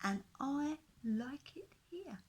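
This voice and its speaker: A woman voicing a big boastful male dinosaur in a storybook reading, talking slowly, loudly and rudely. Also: high voice, expressive, pitched above the performer's own voice. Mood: bossy, shouting. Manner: loud, slow, rude and sarcastic.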